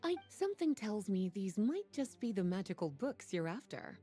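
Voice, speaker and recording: A woman voicing a poised, playful and knowing sorceress-librarian in a video game, with soft, breathy, unhurried, teasing delivery. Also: medium pitch, big pitch swings, soft background music. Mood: intrigued. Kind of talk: video game character dialogue.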